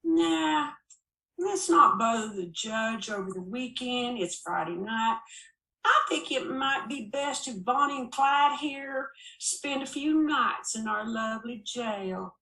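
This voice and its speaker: A man's voice: very high-pitched, expressive, slow pace, gravelly, sharp tone, voice pushed up, exaggerated voice. Woman voicing a needling folksy small-town sheriff, echoey room. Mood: mocking, menacing. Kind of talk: audiobook character dialogue.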